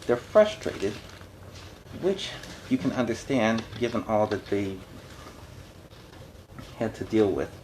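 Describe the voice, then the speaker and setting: A man's voice: on the low side, sing-song, takes it slowly, pauses often, a little mellow, slight background noise, low-quality recording. A man reading a storybook aloud as host, adding conversational, explanatory asides in a casual style.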